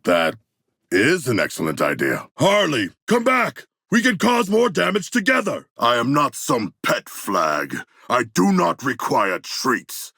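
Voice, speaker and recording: An actor playing a huge, eloquent humanoid shark warrior in a video game, earnest and fierce, with a gravelly voice and stilted, formal diction. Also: male voice, medium pitch, huge pitch swings, a little slow, bright, forceful tone, no room sound, studio quality, full character voice. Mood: calm, considered, then excited shouting, then indignant. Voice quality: gravelly, rough. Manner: formal, stilted, precise diction with big words. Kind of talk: game combat barks and dialogue.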